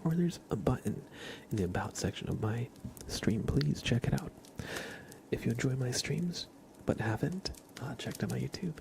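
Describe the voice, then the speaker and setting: A man chatting softly as an ASMR livestream host, hushed and often slipping toward a whisper. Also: mid-range voice, creaky, slight background noise. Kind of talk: soft-spoken ASMR livestream chat.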